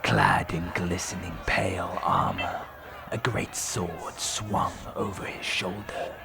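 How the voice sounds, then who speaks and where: A man narrating a fantasy drama in a grave, deliberate manner with a breathy, gravelly voice. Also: deep voice, steady tone, music in the background.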